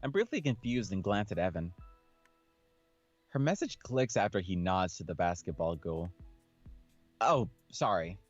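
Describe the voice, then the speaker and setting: Lively man reading a game aloud. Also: sits low, moderate pace, dry recording, muffled recording. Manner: slangy, jokey, reacting aloud. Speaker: let's play host reading a visual novel aloud. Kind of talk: casual playthrough reading and commentary. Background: background game music.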